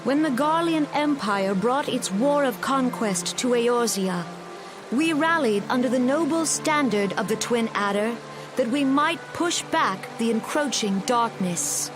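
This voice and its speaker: An actress playing a solemn female forest leader giving a measured, formal ceremonial speech in a fantasy game. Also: a little high, expressive. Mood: solemn, resolute. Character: gentle, solemn female forest elder leader addressing her people. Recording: music and rain behind the voice.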